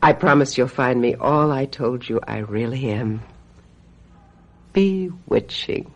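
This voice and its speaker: An actress playing a vengeful, scheming woman who calls herself a witch, with deliberate, mock-sweet menace in an old-time radio mystery style. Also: rumbling low, animated, slight background noise, full character voice.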